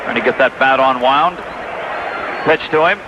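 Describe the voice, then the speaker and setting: A man's voice: mid-range voice, normal speed. An American man calling baseball play-by-play live on 1980s radio. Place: stadium broadcast booth. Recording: noisy, dull vintage AM radio broadcast.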